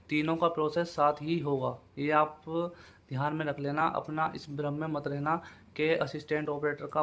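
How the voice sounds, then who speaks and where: Guy doing an update video, kinda high voice, pretty monotone, keeps repeating and checking in with viewers. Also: creaky. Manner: repetitive, familiar, frequent check-in tags. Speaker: YouTube host giving job exam updates. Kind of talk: informal explainer addressed to viewers.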